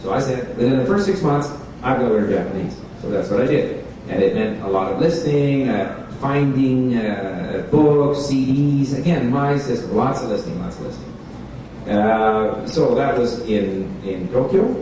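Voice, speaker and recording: A man giving a relaxed, chatty public talk at a bookstore event, switching between languages and asking the audience questions. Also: medium pitch, normal speed. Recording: echoey room recorded from far off, background noise.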